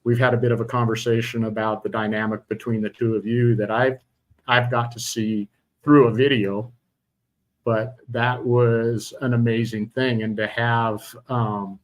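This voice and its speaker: A middle-aged man hosting a casual interview podcast, talking in a halting way with many fillers and restarts. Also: mid-range voice, level tone, poor audio. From Utah. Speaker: podcast host.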